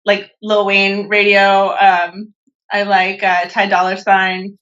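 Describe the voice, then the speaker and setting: A woman's voice: medium pitch, flat delivery, slow pace, a bit bright. A young woman from California, chatting casually as a podcast guest, with lots of fillers and restarts. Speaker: podcast guest, natural bodybuilder. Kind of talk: casual interview chat. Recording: dull lo-fi podcast audio.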